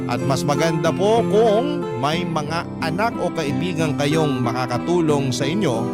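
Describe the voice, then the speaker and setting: A Filipino man narrating a scripted first-person story for a radio programme, as if reading out a letter. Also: fairly high pitch. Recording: prominent guitar music under voice. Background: guitar music bed.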